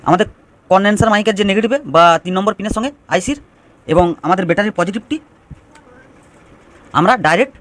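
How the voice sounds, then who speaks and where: A man's voice: high-pitched, quick pace, long pauses, fairly bright tone. A man giving an informal step-by-step DIY electronics tutorial, explaining and restating phrases as he goes.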